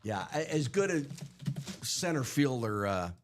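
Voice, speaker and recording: A man hosting a live card break stream, chatting loosely with many fillers, his voice going hoarse. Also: medium pitch, sing-song, takes it slowly, noisy background. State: losing his voice. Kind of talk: casual live stream chat.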